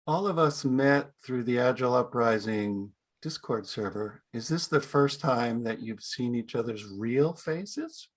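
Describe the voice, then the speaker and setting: A man's voice: mid-range voice, animated, unhurried, hardly any pauses. A man hosting a podcast, chatty and friendly, asking short easy questions. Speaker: podcast host. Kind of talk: casual interview hosting.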